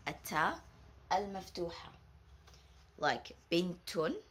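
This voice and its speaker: Husky, low-voiced woman teaching Arabic. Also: a bit bright. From Saudi Arabia. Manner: patient, explains slowly, repeats example words. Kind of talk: recorded language lesson.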